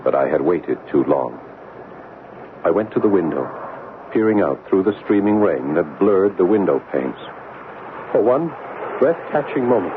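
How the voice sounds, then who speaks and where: An actor playing a troubled, wary, stubborn grown son, earnest and dramatic in the manner of old-time radio drama. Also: male voice, fairly low pitch, noisy background. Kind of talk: old-time radio drama acting and first-person narration. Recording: tinny old radio broadcast, music behind. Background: music.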